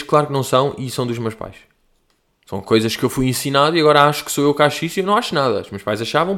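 A young man from Portugal talks in a loose, rambling way, full of slang and asides. His European Portuguese accent is plain, and he pokes fun at himself as he answers listener questions like a comedian hosting his own show. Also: medium pitch. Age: young adult. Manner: rambling, slangy, self-mocking. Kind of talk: casual monologue.

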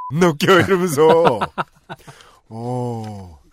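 A man's voice: medium pitch, expressive, very slow, a little hiss or hum. A man co-hosting a casual talk podcast, joking and rambling with chuckles between lines. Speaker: podcast co-host. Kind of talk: casual chat.

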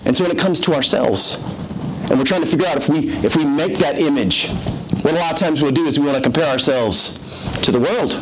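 A pastor with a soothing voice, preaching a conversational sermon with self-deprecating jokes in a church service. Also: male voice, fairly high pitch, a little fast, a little hiss or hum, low-quality recording.

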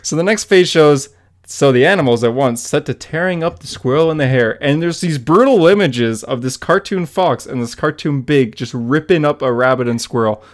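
A man's voice: on the high side, lively intonation, normal speed. Young man, giggly comic-book podcast host. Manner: laughs often while summarizing a story.

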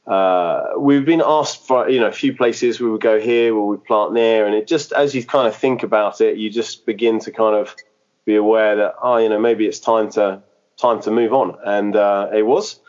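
A man's voice: lowish voice, fairly even intonation, normal speed, darkish tone, lo-fi sound. A man who planted a church, speaking in a podcast interview, hesitant and full of fillers and restarts. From England.

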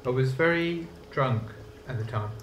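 A man's voice: medium pitch, normal speed, a bit bright, slight background noise, natural voice. A man playing an awkward, apologetic young British bachelor who stammers, in a comedy audio drama. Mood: embarrassed. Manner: hesitant, stammering.

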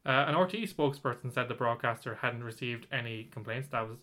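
Bright-voiced man, rambling. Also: mid-range voice, quick pace. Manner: rambling, many restarts and fillers. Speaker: podcast co-host. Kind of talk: casual chat reading news.

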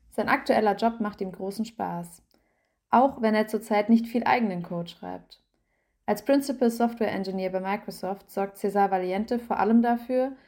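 A woman's voice: mid-range voice, warm tone. Woman reading an article aloud. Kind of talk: scripted narration.

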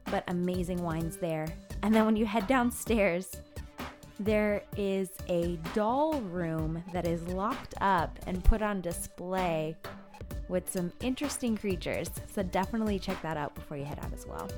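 A woman hosting a show, reading out and commenting on online bar reviews in an expressive, chatty way. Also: lowish voice, a little slow. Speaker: podcast host. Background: music.

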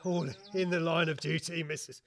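A man's voice: on the high side, little pitch movement, normal speed, a bit bright, lightly acted. A man playing an exasperated, self-important Victorian police inspector who stammers and gets indignant in an audio comedy. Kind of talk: scripted audio comedy acting.

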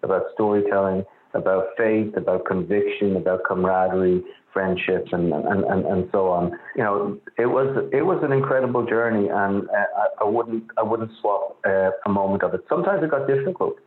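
A man's voice: fairly low pitch, normal speed, hardly any pauses. An Irish man, an author, answering interview questions earnestly and often repeating words as he searches for phrasing. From Ireland. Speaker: author guest. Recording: echoey tinny phone-like line.

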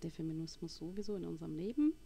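A woman in her forties from Berlin telling her personal story as a podcast guest, halting as she searches for words. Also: on the low side, lively intonation, gravelly, mellow, a little hiss or hum. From Berlin, Germany. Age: 40s.

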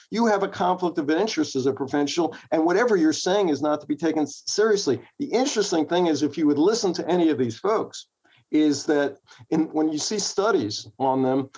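A man's voice: fairly high pitch, a little mellow, dull audio. A man giving opinionated commentary as an interview guest, emphatic and often rephrasing his points. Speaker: interview guest, mental health professional and author.

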